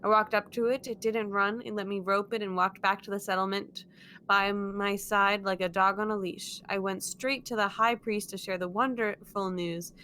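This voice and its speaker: Woman co-streaming, giggly, reads game text all lively, little background hiss. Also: mid-range voice, moderate pace. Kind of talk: reading game text aloud and casual chat.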